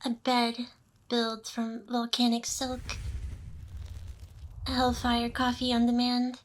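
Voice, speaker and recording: A smug, possessive demoness boss, played by a woman in an intimate ASMR roleplay. Her voice sits a little high and moves very slowly, lingering in seductive pauses. She teases like an ancient ruler who is used to owning whoever is listening. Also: monotone, slight background noise. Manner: slow, teasing, seductive delivery with pauses.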